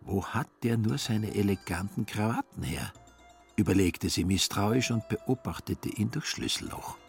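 An actor playing a wry storyteller, narrating a satirical radio play with deliberate, pointed emphasis. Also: male voice, fairly low pitch, vocal fry, soft background music. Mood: dry irony.

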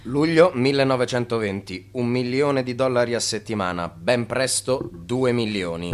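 A chronicler narrating a radio play, voiced by a male actor. He delivers clipped, declarative phrases in a medium-pitched voice. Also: normal speed, close to an everyday voice. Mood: factual. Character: dramatic narrator chronicling a swindler's rise.

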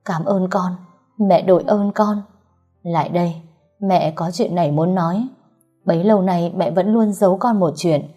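A woman's voice: on the low side, normal speed, mellow, dull audio, faint music behind, natural voice. A woman voicing a tearful new mother speaking tenderly and gratefully. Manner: tender, emotional.

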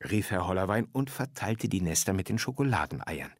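Male narrator for children's stories, low and husky, very animated delivery, unhurried. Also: dry recording, clean studio sound. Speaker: story reader. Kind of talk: expressive children's story narration.

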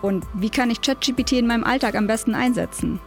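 A woman's voice: on the high side, quick pace. A woman podcast host in a lightly scripted, conversational trailer. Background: faint music.

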